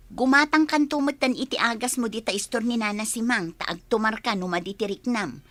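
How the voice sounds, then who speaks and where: Woman acting earnest young Filipina, high-pitched. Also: brisk, a bit bright, dry recording, close to an everyday voice. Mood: mildly exasperated. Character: hardworking, nagging young working woman, exasperated girlfriend. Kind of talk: radio drama acting.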